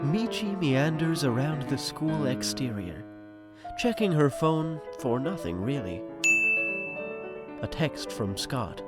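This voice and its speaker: A man's voice: fairly high pitch, big pitch swings, slow pace, over loud music. A man giving wry, dramatic scripted narration with storytelling asides to the listener.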